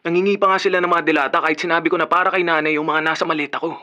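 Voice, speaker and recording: A man's voice: a little high, fairly even intonation, very fast, sharp tone. A man playing an adult son who is protective of his father and resentful, in a Filipino radio drama. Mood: aggrieved.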